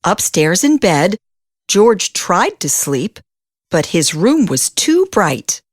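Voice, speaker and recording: Slow, very lively woman reading a storybook. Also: on the low side, slightly rough, no echo, studio quality. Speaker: children's storybook reader. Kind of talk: expressive read-aloud narration for young children.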